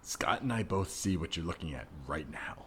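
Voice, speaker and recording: A man's voice: deep voice, animated, normal speed, fairly bright tone, pitched below the performer's own voice. Man playing a jealous husband, swinging delivery. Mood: exasperated. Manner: terse, insistent. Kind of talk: improvised comedy scene. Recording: background ambience noise, remote recording.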